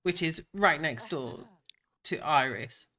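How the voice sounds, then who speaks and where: Slow, bright-voiced gamer with a cold. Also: medium pitch, expressive. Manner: rambling and chatty, with many ums and restarts. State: stuffed up with a cold, a bit croaky. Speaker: Sims gameplay creator. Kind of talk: casual gameplay commentary.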